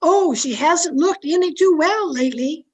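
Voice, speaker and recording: Extremely high-voiced, fretful housemaid. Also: female voice, expressive, moderate pace, darkish tone. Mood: sympathetic. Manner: fretful, sympathetic. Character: anxious, soft-hearted housemaid. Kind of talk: 1940s radio comedy acting.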